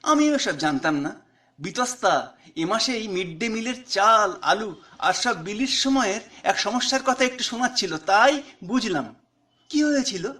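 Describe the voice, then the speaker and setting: A man's voice: high-pitched, animated, a little slow, sharp tone. A man with an Indian Bengali accent reading his own short story aloud as dramatic, conversational narration.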